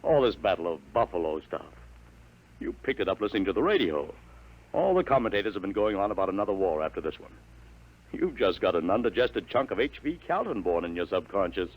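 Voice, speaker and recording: A man playing a bewildered, doubting father in a 1950s radio drama, speaking haltingly and in disbelief. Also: low voice, sing-song, exaggerated voice, low-quality recording. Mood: skeptical. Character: bewildered middle-aged father.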